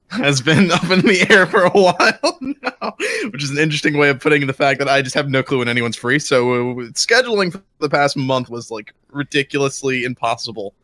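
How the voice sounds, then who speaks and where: A man's voice: mid-range voice, normal speed, bright, forceful tone, no echo. A young man hosting a casual podcast chat, full of teasing, sarcastic banter. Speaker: podcast host.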